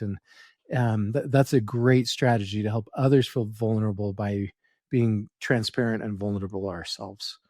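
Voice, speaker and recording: A man's voice: mid-range voice, darkish tone, dry recording, clean studio sound. A man hosting a podcast interview, asking questions and encouraging his guest. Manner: affirming, encouraging. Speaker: podcast host.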